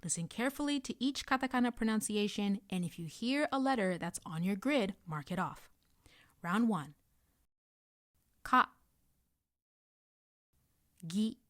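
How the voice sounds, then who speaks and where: Female language tutor, medium pitch, unhurried instructional read with careful enunciation, close and dry recording. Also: animated, a bit bright, dry recording. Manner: clear instructional delivery, carefully enunciating example sounds. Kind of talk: scripted lesson narration, guided pronunciation practice.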